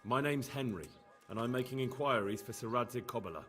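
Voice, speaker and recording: A young medieval investigator, played by a man in a video game. He speaks in a plain, direct, mid-pitched voice, earnest and probing, with faint music behind him. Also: a little fast, fairly bright tone, close to an everyday voice. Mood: brisk, probing. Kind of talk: scripted game dialogue acting.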